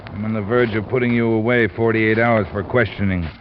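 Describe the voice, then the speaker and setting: An actor playing a suspicious, hard-nosed police sergeant in a vintage radio drama, sardonic and threatening. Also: male voice, on the low side, steady tone, brisk, close to an everyday voice. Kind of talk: 1940s-50s radio drama acting. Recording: muffled, slightly noisy vintage radio recording.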